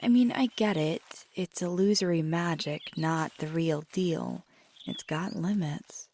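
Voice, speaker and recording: A woman playing a feisty, affectionate magical girlfriend in an intimate ASMR roleplay, speaking softly and tenderly, often near a whisper. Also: on the low side, very animated, unhurried, creaky, slight background noise, heavily acted. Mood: playful, wistful. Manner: tender, teasing, lapsing into whispers.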